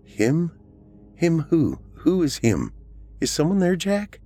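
A man voicing an anxious, excitable adult woman with an expressive, informal manner in an audiobook. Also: fairly high pitch, slow pace, voice pushed up, exaggerated voice. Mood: startled, questioning.

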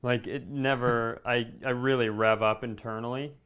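A man's voice: medium pitch, moderate pace, clean tone. A man hosting a comedy podcast, telling a story casually and animatedly, swearing freely and acting out the dialogue. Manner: animated, profane, acts out quoted dialogue.

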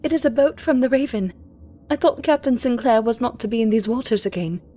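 A woman doing a frightened, high-voiced young woman. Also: expressive, brisk, mellow, a little hiss or hum, natural voice. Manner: alarmed, breathless cries.

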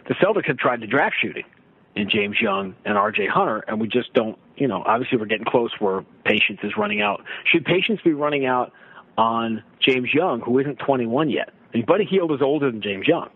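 A man's voice: medium pitch, lively intonation, brisk, husky, dry recording. A middle-aged man giving emphatic, conversational basketball analysis as a sports radio guest, often building his points with rhetorical questions. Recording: slightly muffled, limited-band radio-like audio.

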